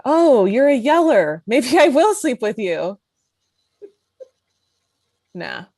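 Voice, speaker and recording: Actress as a blunt, teasing woman, slow and swooping. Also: a little high, sing-song, a little mellow, no room sound, clean studio sound, heavily acted. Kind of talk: comedic script table read.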